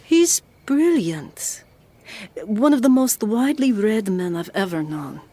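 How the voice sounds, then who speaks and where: A woman playing an earnest, searching wife in an audio drama, with hesitant, formal speech and a quiet stubborn streak. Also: medium pitch, very animated, slow pace. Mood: hesitant, guarded.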